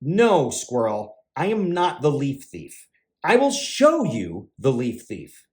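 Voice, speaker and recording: A man voicing a patient, sensible bird in a children's storybook, reassuring and gently firm with a worried friend. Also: medium pitch, huge pitch swings, takes it slowly. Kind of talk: children's picture book read-aloud.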